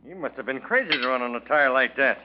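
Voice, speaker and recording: A man acting a folksy service station attendant in an old radio play, with a lively, bouncing voice. He is colloquial and easygoing, heard on a muffled recording with faint noise. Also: mid-range voice, fast talker, natural voice. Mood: chatty. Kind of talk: 1940s radio drama acting.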